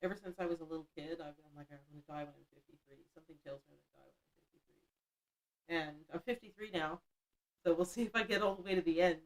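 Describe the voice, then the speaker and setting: Woman, 50s, livestream host; chatty, blunt, self-mocking, quick to laugh. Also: lowish voice, fairly even intonation, low-quality recording. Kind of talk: casual livestream chat reading the chat.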